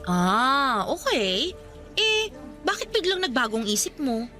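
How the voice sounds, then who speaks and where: A frank young best friend in a radio drama, her voice fairly high over faint music. She is chatty and colloquial, prodding nosily like a confidante after gossip. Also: big pitch swings, takes it slowly, bright, forceful tone, full character voice. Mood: frank, probing. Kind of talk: Tagalog radio drama acting.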